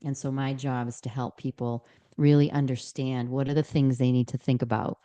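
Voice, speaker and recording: A woman's voice: extremely low pitch, little pitch movement, normal speed, warm tone. A woman, a podcast guest telling her story in an earnest way, with many fillers and restarts. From Boston, Massachusetts. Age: 60s. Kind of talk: podcast interview.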